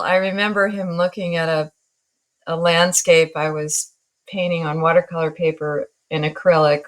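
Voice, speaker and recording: A woman's voice: low voice, a bit bright. A woman in her sixties from Northern California, a guest artist in an interview, talking in a reflective, wry way and laughing at herself. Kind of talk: relaxed interview talk.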